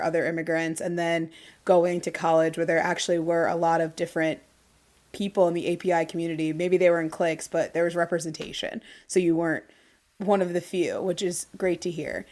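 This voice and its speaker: Measured woman podcast host, lowish voice. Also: a little mellow. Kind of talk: interview questions and reflections.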